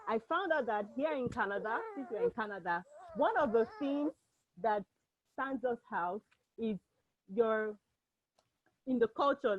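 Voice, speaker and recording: A woman's voice: a little high, no echo. A woman in her thirties with a Nigerian accent, a Yoruba speaker, hosting an online cooking contest from home in a chatty manner, often restarting and laughing. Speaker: host. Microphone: video call. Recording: dull, dry home video call audio.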